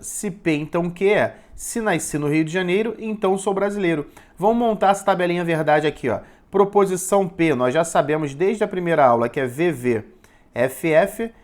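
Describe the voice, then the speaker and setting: A man teaching a recorded logic lesson, patient and encouraging, often pausing to check in with his students. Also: on the high side. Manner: encouraging, informal classroom talk.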